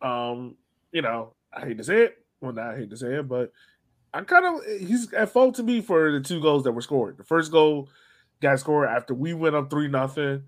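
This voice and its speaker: A man's voice: on the high side, expressive, normal speed. A man hosting a podcast, chatting casually about sports and putting questions to his co-hosts. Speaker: podcast host.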